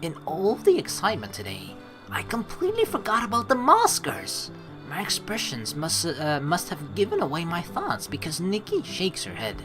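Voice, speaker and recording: A man's voice: sits high, normal speed, over music. A man doing casual playthrough commentary, reading narration aloud and joking with plenty of swearing, on a close mic. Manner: animated, joking, swears freely. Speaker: let's play streamer.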